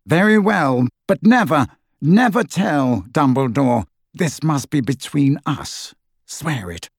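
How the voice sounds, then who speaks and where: A man's voice: fairly high pitch, very animated, unhurried, husky, dry recording, clean studio sound, full character voice. A man voicing an anguished, bitter middle-aged wizard in an audiobook, clipped, intense and contemptuous. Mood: urgent, pleading. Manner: tormented, clipped, contemptuous delivery.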